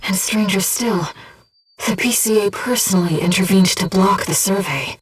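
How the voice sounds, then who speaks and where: A woman voicing a gentle, disembodied female being who guides a pilot in a video game, speaking in a composed, earnest and precise way. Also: medium pitch, normal speed, slightly rough, far from the mic, close to an everyday voice, low-quality recording. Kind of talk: video game mission support voice acting.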